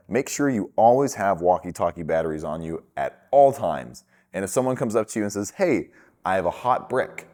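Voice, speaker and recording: Guy with a lowish voice doing a laid-out how-to, informal and chatty, step by step. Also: darkish tone. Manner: informal, conversational, explaining step by step. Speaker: instructor for a film gear rental company. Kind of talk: casual instructional crash course to camera.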